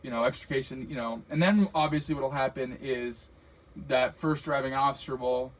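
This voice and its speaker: A man's voice: mid-range voice, moderate pace, poor audio. An American man giving an informal fire radio training lecture, explaining in a casual, plain-spoken way as the trainer. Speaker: firefighter radio communications trainer.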